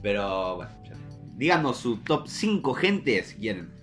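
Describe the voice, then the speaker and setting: A young man with a Rioplatense Argentine accent co-hosts a casual podcast. His voice sits at an average male pitch, and he talks in a slangy, joking, animated way. Faint guitar music plays behind him. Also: a little slow. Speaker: podcast co-host.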